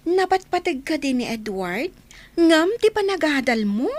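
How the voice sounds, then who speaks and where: A woman with an Ilocano accent acting a chatty, sympathetic young friend who questions and gently scolds, in a radio drama. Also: sits high, big pitch swings, a little slow. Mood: gently scolding. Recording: noisy background ambience.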